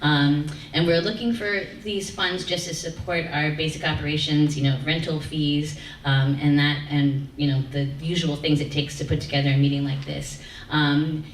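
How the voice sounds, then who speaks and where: A woman's voice: deep voice, fairly even intonation, normal speed. A woman chairing a public community meeting, speaking hesitantly with many fillers. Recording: echoey room, distant mic, faint noise.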